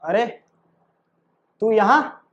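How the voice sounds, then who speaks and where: High-pitched, halting male acting student, muffled. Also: lively intonation, a bit bright. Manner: halting, repeating lines phrase by phrase. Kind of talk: rehearsing short dialogue lines aloud in class.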